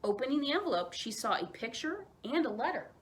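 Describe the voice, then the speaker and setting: Female children's storyteller, higher-pitched voice, very animated delivery with dramatic pauses. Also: slight background noise. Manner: expressive, with dramatic emphasis and pauses. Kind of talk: read-aloud children's storytelling.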